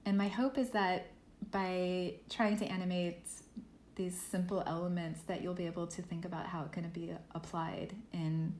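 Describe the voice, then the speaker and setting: Woman teaching a software workshop, echoey. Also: fairly low pitch, normal speed. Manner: relaxed, thinking aloud with fillers. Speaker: art workshop instructor. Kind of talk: software tutorial lesson. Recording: echoey room with faint noise.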